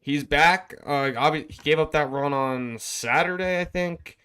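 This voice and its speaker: A man's voice: a little high, measured pace, pushed and bright, clear, resonant tone. A man co-hosting a sports talk show, discussing the game in a casual, conversational way.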